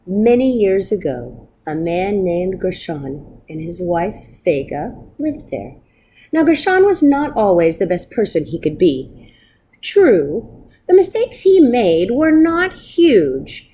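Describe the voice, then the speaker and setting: A woman reading a picture book aloud as a storyteller, with expressive narration meant for children. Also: medium pitch, breathy edge.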